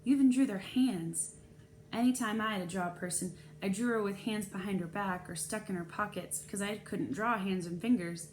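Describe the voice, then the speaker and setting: A woman's voice: medium pitch, normal speed, lightly acted. Woman reading as an earnest young girl, noisy recording. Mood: earnest, encouraging. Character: nervous young girl narrator talking with a friend. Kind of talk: children's novel read-aloud dialogue. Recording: clear background noise.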